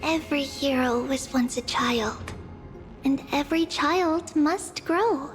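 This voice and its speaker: A serene young holy maiden with a high, gentle voice. She speaks slowly and solemnly, like a mystic, in a long reverberant space with faint music. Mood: gentle, cryptic.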